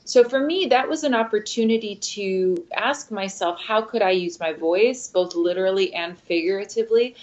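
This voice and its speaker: A woman who grew up speaking Spanish first, answering interview questions in a thoughtful, measured way with frequent fillers. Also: medium pitch. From Michigan, USA. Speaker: guest, opera singer. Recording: dull lo-fi sound.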